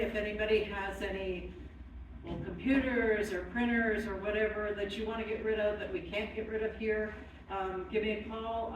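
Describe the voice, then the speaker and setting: A woman resident making an informal, chatty announcement at a town meeting. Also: medium pitch. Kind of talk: casual public announcement. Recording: echoey hall, far mic, background noise.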